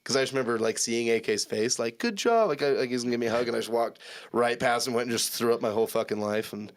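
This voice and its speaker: A man's voice: medium pitch, fast, dry recording. A man, a band's lead singer, chatting easygoing as a podcast guest in a studio, rambling, laughing often and swearing casually.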